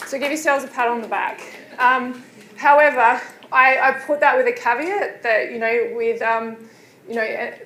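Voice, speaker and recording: A woman's voice: a little high, steady tone, moderate pace, a bit bright, slight background noise. A woman lecturing in midwifery, welcoming new students in a chatty way with many fillers. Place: lecture room. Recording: echoey room.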